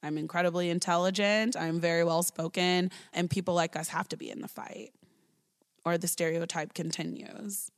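Casting note: earnest woman in her thirties, lower voice, educator sharing her life story. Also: fairly even intonation, moderate pace. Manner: earnest, talky, full of "like" and "right?". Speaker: early childhood educator. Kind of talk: personal storytelling in an interview.

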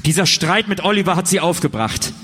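A man's voice: sits high, a little fast, natural voice. A man narrating a comic radio play in a scripted, mock-dramatic storytelling manner.

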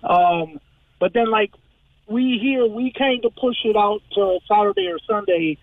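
Man, high voice, relaxed and hesitant, thin phone-like sound, a radio guest. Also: unhurried, dry recording. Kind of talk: casual radio interview. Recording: tinny phone-like line.

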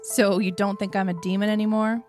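A sardonic young criminal mastermind out of an audiobook fantasy read by a woman, coolly proud of his cleverness. The voice has a Southern US accent and a middling pitch, with deliberate, cynical delivery. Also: little pitch movement, a little mellow, soft background music, natural voice. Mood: intent, proud.

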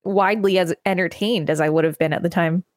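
A woman's voice: fairly low pitch, steady tone, quick pace, no echo, clean studio sound. A woman co-hosting a podcast, reading an article aloud and chatting casually about it. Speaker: podcast co-host.

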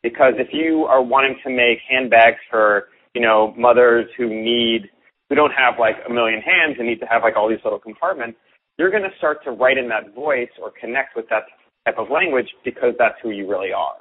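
Husky man explaining over a tinny line. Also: medium pitch, normal speed. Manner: earnest, explanatory, repeats words when starting sentences. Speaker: guest, copywriting coach. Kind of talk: casual interview chat.

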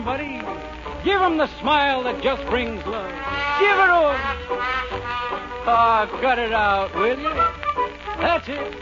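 A man doing a theatrical 1940s radio comedy song act, crooning and breaking into spoken asides. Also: extremely high pitch. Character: hammy jazz crooner pleading with his audience. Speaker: singer and comedian. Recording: muffled old radio recording, music behind.

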